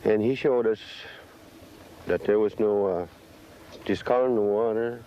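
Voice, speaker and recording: A man recalls events plainly, repeating himself in a slightly low voice. The recording is somewhat dull, with faint noise. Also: a little slow. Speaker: documentary interviewee. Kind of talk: plain spoken recollection.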